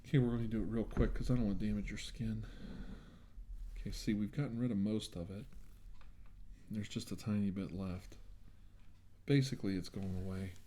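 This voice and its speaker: A man doing a soft-spoken ASMR roleplay as an examiner, talking in a hushed, reassuring way. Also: on the low side, a little hiss or hum. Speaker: ASMR roleplayer acting as examiner treating a client.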